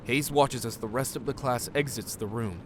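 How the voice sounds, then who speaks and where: A man narrates an audio drama from a script. His pitch is middling, and a clear rumbling noise runs behind his voice. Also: normal speed.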